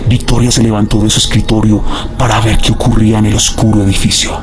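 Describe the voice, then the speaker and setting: An actor as the grave, ominous narrator of a horror radio drama, measured. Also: male voice, fairly low pitch, little pitch movement, lightly acted. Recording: clear background noise and ambience.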